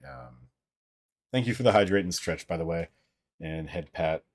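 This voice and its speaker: A man with a deep, somewhat monotone voice, chatting casually as a livestream host in a rambling, halting way with plenty of swearing. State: voice somewhat out of sorts. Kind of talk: casual livestream chat, reading viewer comments.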